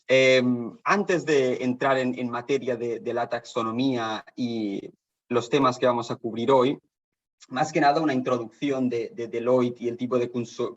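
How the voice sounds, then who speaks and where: A man's voice: mid-range voice, highs cut off. A man from Barcelona, half Danish, with a Spanish accent, giving a seminar talk as a guest consultant, with many fillers and restarts. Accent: Spanish from Spain. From Spain (Barcelona), half Danish. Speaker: guest lecturer, sustainability consultant. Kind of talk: online seminar presentation. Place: office in Copenhagen.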